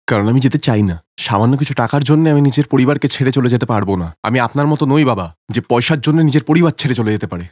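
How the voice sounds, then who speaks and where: A man's voice: mid-range voice, quick pace, few pauses, a little mellow, no echo, studio quality, lightly acted. A man voicing a bitter grown son who rebukes his father in a dramatic cartoon story. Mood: hurt, defiant.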